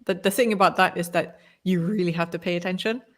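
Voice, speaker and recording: A woman's voice: fairly low pitch, steady tone, quick pace. A woman who speaks Malay as a first language, co-hosting a podcast in a casual, opinionated chat full of restarts. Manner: talkative, opinionated, many restarts. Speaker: podcast co-host.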